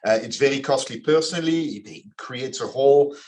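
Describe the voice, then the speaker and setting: A man from Belgium in his forties, answering questions as a podcast guest in a measured way, with frequent restarts and fillers. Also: mid-range voice, darkish tone. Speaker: guest, finance executive.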